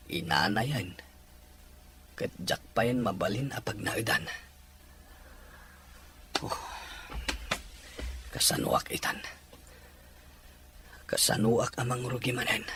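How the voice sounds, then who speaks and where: A man playing a despairing, remorseful father just out of prison in an audio drama, sighing and pleading haltingly. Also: medium pitch, a crowd in the background, natural voice. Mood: weary, ashamed. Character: remorseful, despairing ex-convict father returning home. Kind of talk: emotional Ilocano audio drama acting.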